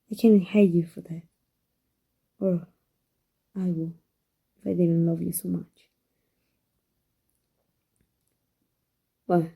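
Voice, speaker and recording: A woman playing a guarded but tender villain in an intimate ASMR roleplay, speaking in a halting, emotional, breathy way. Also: on the low side, mellow, heavily acted, low-quality recording. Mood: aching, tender. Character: tender, guarded villain woman confessing love to her rival. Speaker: voice actor.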